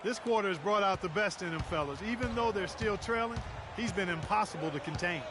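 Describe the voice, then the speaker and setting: A man's voice: high-pitched, brisk, background noise, close to an everyday voice. A man playing a basketball color analyst in a video game, conversational and emphatic in his remarks. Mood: admiring. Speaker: color commentator. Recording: game stream with loud music and court sounds. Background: loud music, basketball court sounds.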